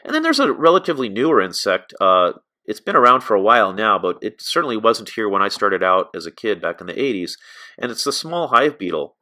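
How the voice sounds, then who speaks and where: Bright-voiced middle-aged American man teaching. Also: fairly low pitch, big pitch swings, fairly quick. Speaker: beekeeping instructor. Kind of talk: informal narrated slide lesson.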